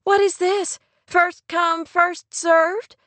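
Woman playing a high-pitched, distraught young woman. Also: measured pace, no echo. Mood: sarcastic, blurting. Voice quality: trembling, tearful. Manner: sharp, demanding, near hysterical. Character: distraught, angry young woman, sarcastic and pushy.

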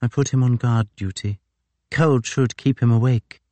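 A man voicing a weary, gaunt young naval commander who speaks in a tired, flat monotone. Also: medium pitch, normal speed, dry recording, pitched above the performer's own voice, close to an everyday voice.

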